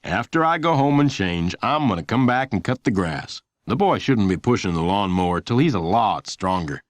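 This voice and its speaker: A man's voice: on the low side, animated, normal speed, no room sound, close to an everyday voice. Man playing an easygoing, plain-spoken policeman. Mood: friendly, matter-of-fact. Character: easygoing, kindly adult policeman. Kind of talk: children's audiobook character voice.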